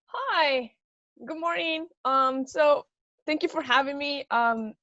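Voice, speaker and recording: A woman's voice: high voice, takes it slowly, fairly bright tone, dry recording. A woman from Venezuela telling her story casually in a webinar, with many ums and uhs, over a video call. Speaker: guest speaker. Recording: dull lo-fi dry sound.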